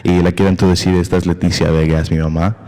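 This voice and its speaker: A man's voice: sits low, little pitch movement, quick pace, dark tone, big-room sound, soft background music. A male podcast host talking casually and hesitantly, with many fillers and English words mixed in. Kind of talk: casual conversational interview.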